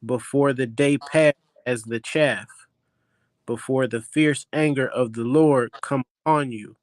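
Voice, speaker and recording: A man in a religious class reading scripture aloud in a plain, halting way. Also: mid-range voice, fairly even intonation, no room sound.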